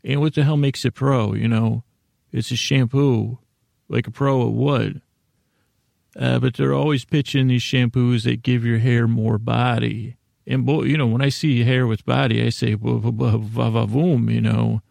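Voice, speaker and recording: Man, mid-pitched voice, vocal fry, halting rambling delivery, deadpan silliness, sleep podcast host, bedtime monologue. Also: dry recording, studio quality. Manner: meandering, halting, self-interrupting with tangents.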